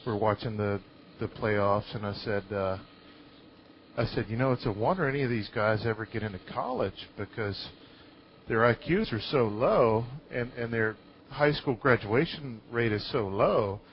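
Man in noisy, lo-fi casual talk. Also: fairly low pitch. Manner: halting, repeats words. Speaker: talk show participant. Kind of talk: casual live talk, telling anecdotes. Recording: dull lo-fi audio with clear noise.

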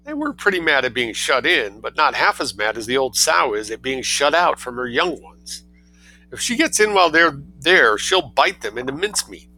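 A man's voice: medium pitch, sing-song, moderate pace, bright, forceful tone, voice pushed up, exaggerated voice, rough recording. A man voicing a scheming little boy who sounds sweet but speaks in a cheeky, matter-of-fact way. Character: cunning angelic-seeming little boy.

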